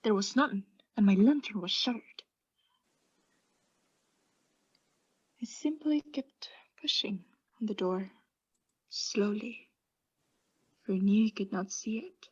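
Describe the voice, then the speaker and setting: A woman playing an obsessed mad murderer in a theatrical radio play, confessing intensely in hushed whispers and frantic outbursts. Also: mid-range voice, a little slow, pauses often, husky, highs cut off. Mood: furtive, tense.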